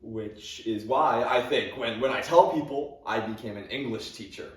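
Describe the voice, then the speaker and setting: A man's voice: mid-range voice, moderate pace, natural voice. A young man playing an awkward, grieving male English teacher who stammers, repeats his words and gives nervous little laughs, in a dramatic monologue. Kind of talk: competitive dramatic interpretation monologue. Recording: roomy sound from a distant camera.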